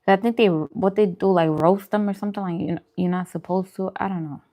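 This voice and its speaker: A woman's voice: medium pitch, fairly even intonation, brisk, warm tone. A woman chatting informally with her live-stream viewers, answering comments as she goes. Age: adult, parent. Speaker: food vlogger and live streamer.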